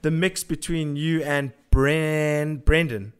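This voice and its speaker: A man's voice: a little high, fairly even intonation, slow pace, over music. A man hosting a casual interview, joking and rambling in an informal way. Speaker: podcast host.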